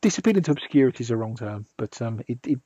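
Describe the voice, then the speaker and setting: A man hosting a music podcast, hoarse and husky from a sore throat, rambling with restarts. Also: medium pitch, lively intonation, rapid-fire, dark tone, no echo, highs cut off. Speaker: podcast host. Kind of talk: casual podcast chat.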